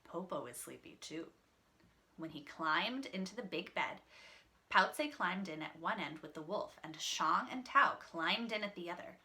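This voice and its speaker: A woman reads a storybook to children in a bright voice with animated pitch. She is expressive and explains things simply, in the way of a teacher. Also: normal speed. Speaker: teacher reading a picture book aloud.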